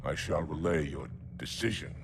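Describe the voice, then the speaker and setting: An actor playing a brutish, resentful alien military commander in a video game, gruff yet formal. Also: male voice, very deep voice, moderate pace, noisy background. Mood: grudging deference. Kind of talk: cinematic video game acting. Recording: loud music behind.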